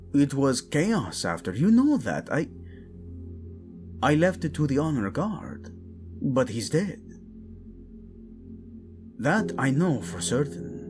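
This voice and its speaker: A man playing a proud, brooding young prince in an audio drama, curt and sardonic, with a Spanish accent. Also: mid-range voice. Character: brooding, proud young prince, defensive about his dead brother.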